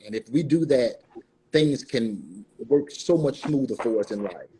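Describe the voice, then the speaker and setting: Guy guest with a mellow raspy voice, kinda formal and earnest, measured wording. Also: mid-range voice, lively intonation, poor audio. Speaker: stage actor, interview guest. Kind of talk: earnest promotional remarks.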